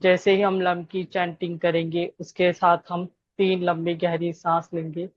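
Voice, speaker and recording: A woman leading a guided meditation, giving gentle instructions with long pauses between phrases. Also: fairly low pitch, level tone, dry recording, dull audio.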